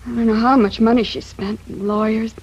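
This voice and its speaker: An actress playing a troubled, resentful young American niece with a husky, coughy voice in a 1950s radio drama. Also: female voice, fairly high pitch, fairly even intonation, moderate pace, a little mellow. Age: young adult. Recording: dull 1950s radio recording.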